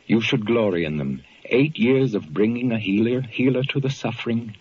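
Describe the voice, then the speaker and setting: An actor playing a solemn, saintly ambulance man in an old radio drama, speaking with grave, measured, preacherly oratory and fatherly wisdom. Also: mid-range voice, darkish tone, a little hiss or hum, close to an everyday voice. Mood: exalted, grave. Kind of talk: 1940s radio drama acting. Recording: muffled old-time radio recording, faint music.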